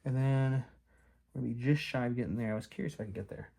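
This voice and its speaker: Fast-talking husky man explaining game moves. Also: mid-range voice, warm tone. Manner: fast, chatty, explaining moves and dice rolls. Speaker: tabletop wargame player. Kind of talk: casual battle report play-by-play.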